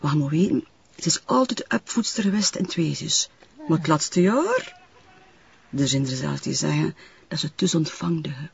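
An actress playing a gossipy, guarded older neighbour in a TV crime drama, husky, with a West Flemish accent and hesitant, trailing chatter. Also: female voice, sits low, sing-song, moderate pace, exaggerated voice. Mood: confiding, gossipy. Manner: hesitant, chatty, trailing sentences with tag questions. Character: gossipy older working-class woman, guarded but nosy neighbour. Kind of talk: naturalistic television crime drama acting. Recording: dull, muffled TV soundtrack.

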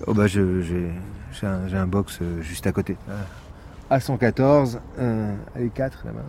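An actor playing a gruff, sarcastic police investigator in a French audio drama, deadpan and a little hesitant, with a French accent. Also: male voice, lowish voice, a little slow, slight background noise, natural voice. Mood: dry, guarded. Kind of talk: naturalistic audio drama acting.

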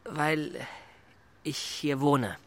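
An actor playing Thomas, a sober, slightly awkward IT consultant, in a literary audio drama with a hesitant manner. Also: male voice, fairly high pitch, extremely slow, very noisy. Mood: plain, shy.

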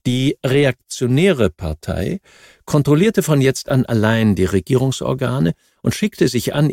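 A man reading a scripted history audiobook in a measured, formal way. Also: medium pitch, vocal fry, darkish tone, dry recording, clean studio sound. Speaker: audiobook narrator.

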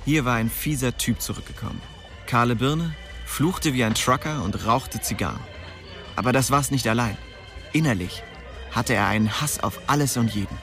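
A man's voice: mid-range voice, moderate pace, fairly bright tone, soft background music, lightly acted. An actor playing a young streetwise man who recounts his small-town youth colloquially in a radio drama. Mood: sober recollection. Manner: colloquial, storytelling. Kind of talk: radio drama first-person narration.

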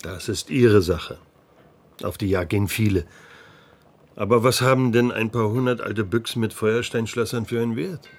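A man's voice: fairly low pitch, normal speed, soft background music. An actor playing a frightened, cautious village headman in a radio play. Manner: anxious, cautious, hesitant.

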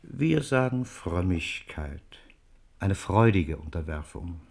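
An actor playing a suave, coolly cynical Jesuit envoy in a German radio play, measured, formal and insinuating. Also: male voice, low voice, lively intonation, gravelly, darkish tone, heavily acted. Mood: smooth, cynical.

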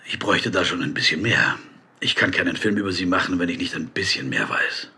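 An actor playing a probing, wry blacklisted American screenwriter in a radio drama. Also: male voice, sits low, a little fast, pushed and bright, slightly rough, full character voice. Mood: probing, skeptical.

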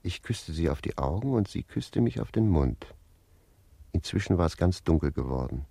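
Sly naive village lad, low voice, mellow, mock-innocent, repetitive storytelling, radio play. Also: male voice, lively intonation, moderate pace, gravelly, dry recording, exaggerated voice. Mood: eager confessing. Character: naive, sly young village man confessing his amorous adventures. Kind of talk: German radio play, first-person storytelling with dialogue.

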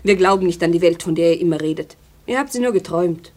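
A woman playing a fearful, disbelieving mother figure in a German radio play, with a husky voice. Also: lowish voice, quick pace, a little mellow, close to an everyday voice. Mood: dismissive. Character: fearful, disbelieving woman of the sealed dark world.

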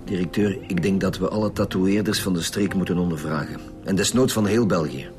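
A male actor as a Flemish homicide chief with a low, authoritative voice. He is brusque and commanding, in a radio play with clear music behind the dialogue. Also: a little fast, lightly acted. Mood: matter-of-fact. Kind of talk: radio drama acting.

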